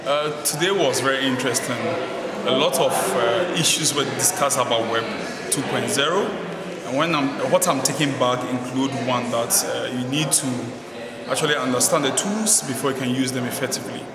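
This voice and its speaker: A man from Ghana, giving a steady, matter-of-fact interview answer at a conference. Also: mid-range voice, normal speed. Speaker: journalism trainer. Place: conference venue hall. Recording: echoey hall with crowd.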